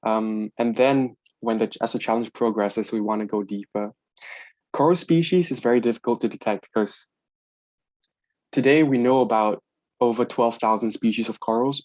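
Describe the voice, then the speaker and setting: Guy with a lowish voice explaining stuff on a call, bit halting, really dry sound no room. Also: a little mellow, no room sound, muffled recording. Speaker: organization presenter. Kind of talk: informal online presentation.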